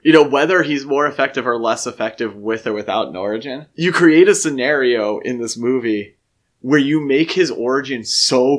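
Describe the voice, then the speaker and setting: Man debating on a podcast. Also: mid-range voice. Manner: opinionated, argues his points at length. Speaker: podcast co-host. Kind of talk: casual film discussion.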